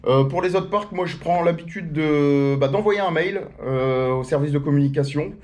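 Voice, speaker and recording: A man's voice: on the high side, moderate pace, a little mellow. Slangy, joking man chatting to viewers. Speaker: theme-park YouTuber answering viewer questions. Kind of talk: casual chat, FAQ to camera.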